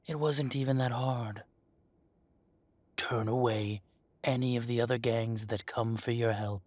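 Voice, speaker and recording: A man acting as a possessive dragon shifter mafia boss in a roleplay audio drama, speaking with mock politeness and deliberate, taunting menace. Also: mid-range voice, dry recording. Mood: coolly reasoning. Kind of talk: scripted roleplay audio drama, addressing the listener.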